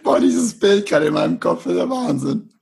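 A man hosting a casual interview podcast, enthusiastic and quick to laugh, using casual slang. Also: high-pitched, expressive, gravelly, darkish tone, husky. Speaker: podcast host.

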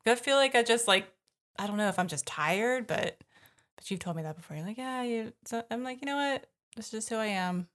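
A woman co-hosting a podcast, talking casually in a flat, monotone, low-key way. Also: a little fast. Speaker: podcast co-host.

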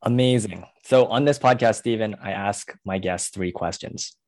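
A man's voice: fairly low pitch, normal speed, darkish tone. A man hosting a podcast, asking questions and reacting with enthusiasm. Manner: enthusiastic, encouraging interjections. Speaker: podcast host. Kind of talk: podcast interview.

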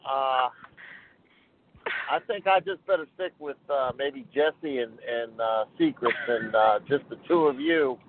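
A man's voice: mid-range voice, unhurried. A man in casual chat, hesitant and flustered as he jokes along on the spot. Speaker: show participant put on the spot. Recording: tinny phone line with clear noise.

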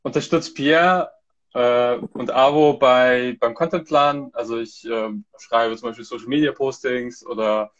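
A man's voice: mid-range voice, fairly even intonation, measured pace, highs cut off. A man from Hamburg chatting casually as a guest on a live stream, hesitant, with many restarts and fillers. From Hamburg, Germany. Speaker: content manager, guest. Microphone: video call.

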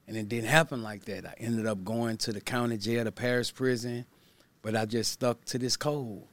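A man's voice: mid-range voice, no echo. A man from Baton Rouge, telling his story as a guest in a candid interview, in a laid-back, plainspoken, slangy way. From Baton Rouge, Louisiana. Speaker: guest, pastor and motivational speaker.

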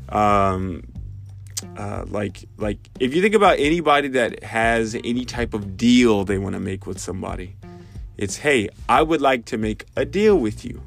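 A man in his thirties, congested, hosting a podcast and thinking aloud, with many fillers and restarts. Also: medium pitch. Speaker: podcast host. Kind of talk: casual spoken reflection.

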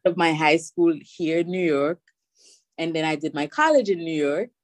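Haitian-accented woman, soft low voice. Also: normal speed. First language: Haitian Creole. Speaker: podcast guest. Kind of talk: casual interview chat.

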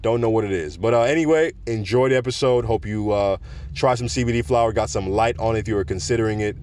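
A deep-voiced man, a podcast host, giving his opinions in a relaxed, conversational monologue and projecting his voice. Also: fast talker. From South Florida. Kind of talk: casual solo podcast rant.

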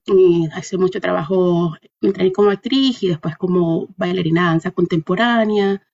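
Woman, Venezuelan accent, lowish voice, reflective interview guest, searching for words, dull lo-fi recording. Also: moderate pace, creaky, darkish tone. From Caracas, Venezuela. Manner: thoughtful, searching for words, seeking agreement with tag questions. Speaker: interview guest, dancer and artist. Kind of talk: reflective conversational interview answers.

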